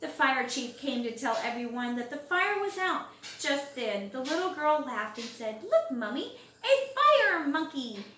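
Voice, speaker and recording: A woman reads a picture book to young children in a high voice that swings widely in pitch. She stretches key words for effect. The recording is roomy, with the microphone some distance away. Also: a little hiss or hum. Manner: expressive, drawn-out words and loud acted exclamations for young listeners. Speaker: storybook reader. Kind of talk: animated children's storybook read-aloud.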